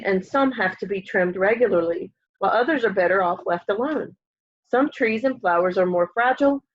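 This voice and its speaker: Clear-voiced woman reading aloud, slightly muffled. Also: mid-range voice. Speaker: storybook reader. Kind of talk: children's book read-aloud. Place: room at home, by a window. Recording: slightly dull, lo-fi home webcam sound.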